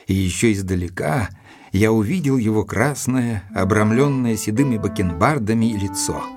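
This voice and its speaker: An actor playing an earnest doctor who narrates his investigation in an audio drama, with a measured, probing delivery. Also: lowish voice, lively intonation, music in the background, lightly acted. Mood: determined.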